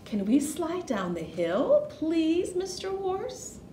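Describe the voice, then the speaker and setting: Woman as small excitable storybook animals, polite, sing-song, very high pitch, roomy distant sound. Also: slow pace, exaggerated voice. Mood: eager, pleading. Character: eager, polite little farm animals pleading in chorus. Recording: roomy, distant mic with faint noise.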